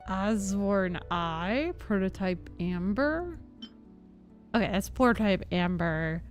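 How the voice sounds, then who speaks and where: Woman, casual livestream chat, expressive swooping pitch, slowish pace, thinking aloud, soft game music. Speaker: game streamer.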